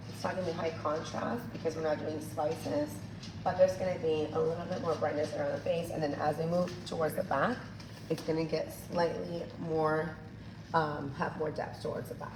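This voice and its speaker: A woman's voice: low-pitched, normal speed, creaky. A woman hair colorist teaching a coloring technique in a chatty tutorial, her voice still recovering from being lost. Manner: chatty, addresses viewers as "you guys". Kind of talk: hands-on tutorial demonstration. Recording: echoey room, distant mic, noisy.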